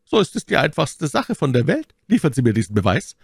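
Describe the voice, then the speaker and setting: Calculating, lofty cardinal for a storybook, done by a male reader: commanding, animated, raised pitch, creaky edges. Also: brisk, no echo, studio quality, full character voice. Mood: cool, commanding.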